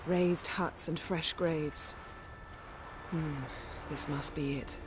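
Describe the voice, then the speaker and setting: A woman's voice: low voice, fairly even intonation, takes it slowly. A woman playing an imperious, sardonic sorceress in a video game, poised and formal. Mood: brisk. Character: imperious, self-assured sorceress, brisk and sardonic. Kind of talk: video game cutscene acting. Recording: loud game music under the voice.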